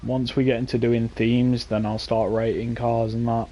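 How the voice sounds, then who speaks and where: A man's voice: medium pitch, flat delivery, normal speed, dark tone, slight background noise. A young man with an English accent, chatting casually and offhandedly with viewers while hosting a game stream. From England. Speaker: streamer hosting a car meet. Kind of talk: casual gaming stream chat.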